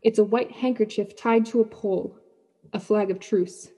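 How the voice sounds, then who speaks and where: A woman playing an on-the-spot radio news reporter at a disaster scene in a radio play, with a husky voice. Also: medium pitch, fairly even intonation, normal speed, warm tone, rough recording. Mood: tense, watchful. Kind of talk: radio play acting, live field report.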